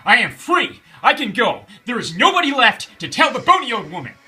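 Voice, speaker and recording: A man's voice: very high-pitched, big pitch swings, fairly quick, sharp tone, faint music behind, pitched above the performer's own voice, exaggerated voice. A man voicing a bold young folk hero in a bedtime story, exclaiming with urgency. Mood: triumphant.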